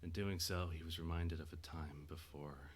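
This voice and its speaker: A man's voice: very deep voice, steady tone, normal speed, slight background noise. A man delivering dramatic scripted narration as the narrator of an audio drama.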